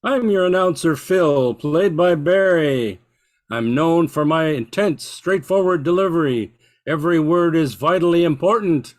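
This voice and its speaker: A man's voice: a little high, a little slow, close to an everyday voice. An older man playing an intense, straightforward announcer in an old-time radio play, weighing every word. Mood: emphatic. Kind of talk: 1940s radio acting.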